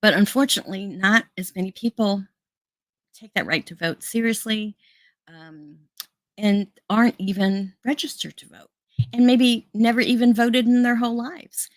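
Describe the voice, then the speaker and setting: A woman's voice: fairly high pitch, normal speed, no echo. An American woman hosting an online show, talking casually and earnestly to her viewers, with frequent fillers and restarts. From United States. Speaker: host.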